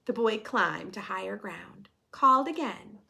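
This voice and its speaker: A woman's voice: medium pitch, lively intonation, unhurried, vocal fry, bright, forceful tone. A woman reading a storybook aloud to young children, expressively, with chatty, questioning asides to her listeners. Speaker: children's story reader and host.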